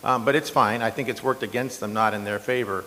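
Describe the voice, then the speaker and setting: A man, an incumbent county supervisor, answering in a candidate debate with a loud, slightly hoarse voice and a defensive, chatty manner. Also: on the low side, fairly even intonation, a little fast. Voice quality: loud strong voice. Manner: defensive, conversational with fillers. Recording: long hall reverb.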